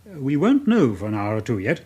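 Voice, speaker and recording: An actor playing a measured hospital registrar in a radio drama, speaking in a precise, formal, matter-of-fact way. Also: male voice, medium pitch, wildly swooping pitch, a little mellow, slightly rough.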